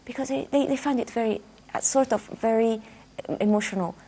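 Gravelly-voiced woman in a studio interview. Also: fairly high pitch, fairly quick, mellow. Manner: thoughtful, repeats words while searching for phrasing. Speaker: musician guest. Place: dark television studio, seated.

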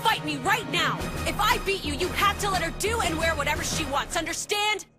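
Combative young anime woman, high voice, loud, defiant, foul-mouthed, heavy music behind. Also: expressive, fairly quick. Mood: furious, defiant. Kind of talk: anime dub acting.

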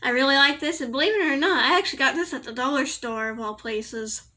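Young woman, high bright voice, slightly raspy, giggly and rambling, small bedroom, laptop camera. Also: fast. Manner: giggly, rambling, self-correcting. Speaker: vlogger. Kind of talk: casual product review to camera.

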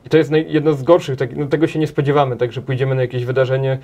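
A man in his thirties answering questions in a studio interview, talking in halting runs with many restarts and repeated words, recorded on a table mic in a small TV studio. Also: mid-range voice, level tone, dark tone. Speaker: interview guest, head of a transport association.

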